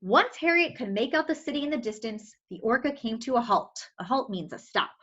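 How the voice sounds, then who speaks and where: A woman storyteller reading a picture book aloud to young children in an expressive, explaining way, with questioning asides. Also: a little high, fairly quick, slightly rough, lo-fi sound.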